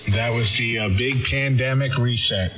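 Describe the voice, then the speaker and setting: A man chatting casually over amateur radio in a hesitant, halting way. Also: medium pitch, a bit bright.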